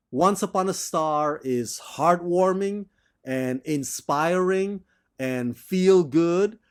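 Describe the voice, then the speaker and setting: A male film reviewer talks through a movie in a measured, enthusiastic monologue. His voice sits a little on the high side, and he speaks slowly, letting each phrase land. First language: not Thai.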